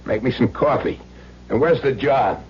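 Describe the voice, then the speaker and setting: A hardened ex-convict in an old radio thriller, desperate and menacing. His voice is rough and husky, full of slangy threats, on a dull vintage broadcast recording. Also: medium pitch, normal speed. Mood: bitter, threatening. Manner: menacing, slangy tough-guy talk.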